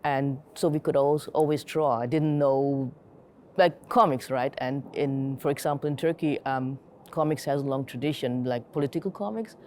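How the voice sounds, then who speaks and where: A woman's voice: a bass voice, normal speed, darkish tone, a little hiss or hum. A middle-aged woman from Germany, telling personal stories in a casual, reflective interview style. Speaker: interviewee, comic artist and curator.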